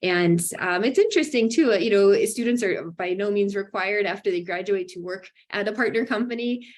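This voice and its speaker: A woman hosting an online information session, talking in an upbeat way with many fillers and bright emphatic rises. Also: medium pitch, fairly quick. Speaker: webinar host for a graduate program.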